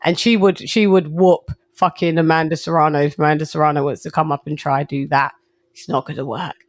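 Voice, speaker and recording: A man hosting a casual live boxing chat, excitable and shouting out viewers' super chats. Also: a little high, a little fast, no echo, studio quality. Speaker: live-stream host.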